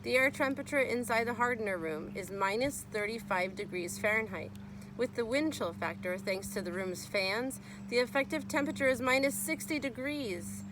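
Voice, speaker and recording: A woman's voice: on the high side, normal speed, noisy background. A woman reading a children's nonfiction book aloud as a teacher would, explaining and speaking to young listeners.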